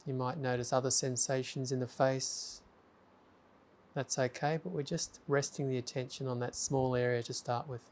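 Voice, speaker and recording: Guy leading a meditation, slow and gentle, pretty monotone, mid pitch. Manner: slow, calm, gentle instructions with long pauses. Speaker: meditation guide. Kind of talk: guided meditation.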